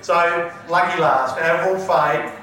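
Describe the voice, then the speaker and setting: A man emcees a live panel in a booming, jovial voice that often stumbles and restarts. He sounds a little higher than most men and sits well back from the mic. A large reverberant hall and a murmuring crowd surround him. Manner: jovial, loud, halting with restarts. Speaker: panel host. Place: large event hall with audience. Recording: echoey hall, distant mic, crowd noise.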